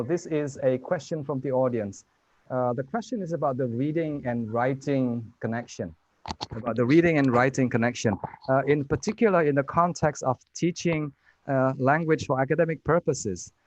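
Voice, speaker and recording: A man moderating a panel, putting questions to the speakers in a measured way. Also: medium pitch, dry recording. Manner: measured, asks questions, frequent listening murmurs. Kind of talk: panel discussion.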